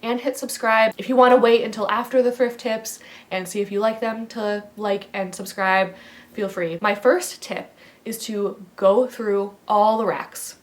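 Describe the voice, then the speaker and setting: A woman in her thirties from the Midwest, a fashion vlogger giving rambly, casual advice with lots of fillers, stuffy from a head cold. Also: mid-range voice. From American Midwest. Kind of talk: casual chatty vlog.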